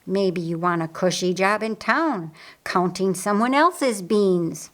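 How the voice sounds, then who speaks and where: A woman voicing a devoted, bantering sister in a storybook, with expressive, exclamatory delivery. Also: mid-range voice, slow.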